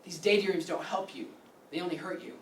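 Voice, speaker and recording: Blunt, confrontational clinician played by an actor, rapid delivery, firm lecturing; stage drama in an echoey room. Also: mid-range voice, lightly acted. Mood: stern, lecturing. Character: blunt, confrontational mental hospital staffer. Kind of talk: staged play reading. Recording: echoey room, distant mic, faint noise.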